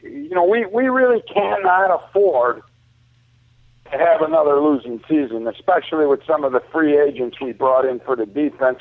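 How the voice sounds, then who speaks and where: A man's voice: a little high, no room sound. An American man giving emphatic, opinionated sports commentary in a casual, colloquial way, repeating himself as he makes his points. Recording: muffled lo-fi phone-like radio sound.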